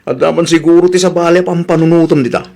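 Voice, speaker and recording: A man's voice: a little high, fairly even intonation, fast talker, gravelly, mellow. A man playing a sceptical, grumbling husband in an Ilocano comedy radio drama, speaking with an Ilocano Filipino accent. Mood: doubtful, resigned.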